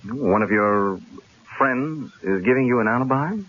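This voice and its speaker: An actor playing a cool, shrewd newspaperman in a radio drama, speaking in a measured, dryly sardonic way. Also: medium pitch, a little hiss or hum, lightly acted. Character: cool, shrewd newspaper columnist, stalling his would-be killer. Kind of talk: 1970s radio drama acting. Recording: dull, lo-fi old radio broadcast.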